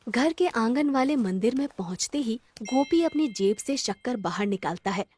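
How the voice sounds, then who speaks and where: Mellow, high-voiced woman storyteller. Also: lively intonation, moderate pace, breathy edge. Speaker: narrator. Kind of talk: scripted children's story narration.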